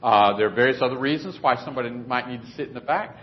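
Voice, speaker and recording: A man's voice: mid-range voice, fast talker. A man preaching a sermon in a conversational, plainspoken way in a church meeting room. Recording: dull lo-fi recording.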